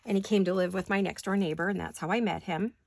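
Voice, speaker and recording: A woman's voice: on the low side, fairly even intonation, quick pace, vocal fry. A middle-aged woman rambling chattily while she works on paper crafts in her home studio, joking at her own expense. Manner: rambling, self-deprecating, talks while working. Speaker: craft vlogger.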